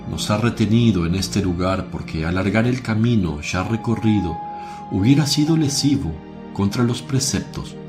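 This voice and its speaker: A man playing Jesus as a gentle, solemn teacher who preaches in measured, declamatory phrases in a religious radio play. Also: fairly low pitch.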